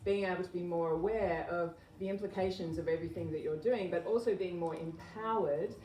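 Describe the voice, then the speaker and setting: A woman's voice: on the low side, a little fast, mellow. A woman speaking as a health educator, giving an informal public talk in an earnest, conversational, explanatory way. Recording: echoey room, distant mic, background noise.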